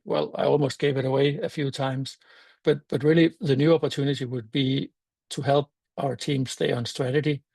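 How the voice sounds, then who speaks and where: An older man with a Danish accent, a native Danish speaker, giving a conference talk in a thoughtful, halting way with many fillers and restarts. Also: medium pitch, gravelly, darkish tone, husky, no echo. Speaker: meetup speaker.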